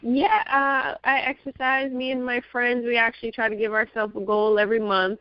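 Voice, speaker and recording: A woman guest on a radio talk show, a magazine publisher, talking in a friendly, relaxed way. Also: fairly high pitch, moderate pace, dry recording. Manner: friendly, relaxed, light laughs. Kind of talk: casual interview chat. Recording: dull lo-fi sound, dry.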